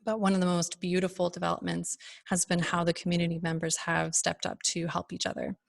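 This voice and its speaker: A woman hosting a nonprofit fundraiser, giving a prepared, earnest and measured talk. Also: lowish voice, monotone, creaky, dry recording, clean studio sound.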